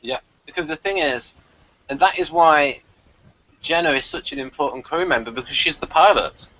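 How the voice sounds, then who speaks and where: Male review-show host, forceful bright voice, chatty and emphatic, casual discussion over lo-fi call audio. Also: a little high, normal speed.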